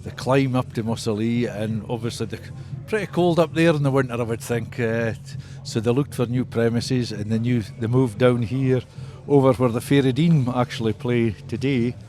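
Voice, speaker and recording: Older male guest, Scottish Borders accent, club historian recalling the past in an interview, mid-pitched voice over faint music. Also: steady tone, normal speed, few pauses.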